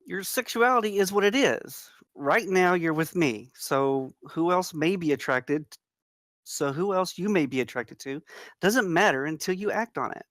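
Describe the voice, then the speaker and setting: A woman playing a warm, teasing woman on a date at a screenplay table read. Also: fairly low pitch, expressive, a little slow, no echo, studio quality. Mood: reassuring.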